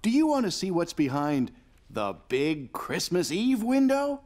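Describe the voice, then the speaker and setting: A man playing a gentle, playful young dad in a preschool cartoon, with an expressive storybook way of talking. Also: sits high, measured pace. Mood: warm. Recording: music behind the voice.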